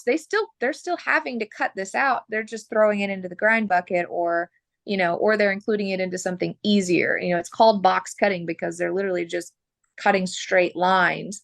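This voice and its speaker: A woman from Oklahoma, a butcher, talking frankly as a podcast guest while losing her voice and clearing her throat. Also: lowish voice, no room sound. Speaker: butcher and mobile processor owner.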